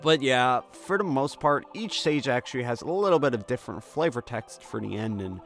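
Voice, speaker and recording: A man with a mid-pitched voice talks over game music, hosting a playthrough. His delivery is informal and chatty, with an amused, casual commentary style. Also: expressive, a little fast. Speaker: host of a game playthrough series. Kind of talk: casual let's play commentary. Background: video game music.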